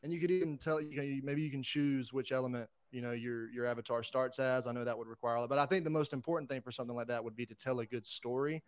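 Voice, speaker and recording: A man's voice: medium pitch, fairly even intonation, quick pace, hardly any pauses, clear, resonant tone, no room sound. A man giving his opinions casually as a podcast panelist.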